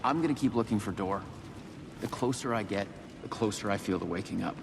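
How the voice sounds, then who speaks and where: Deep, gravelly weary sheriff. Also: male voice, a little fast, noisy background. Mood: musing, determined. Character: weary, puzzled small-town sheriff lost in a nightmare. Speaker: game character actor. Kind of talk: naturalistic video game drama.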